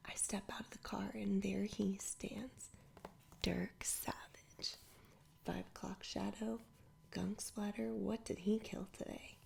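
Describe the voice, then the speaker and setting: A woman reading a children's adventure story aloud entirely in a soft whisper, in ASMR style. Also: mid-range voice, flat delivery, takes it slowly, creaky. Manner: whispers throughout. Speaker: storybook reader.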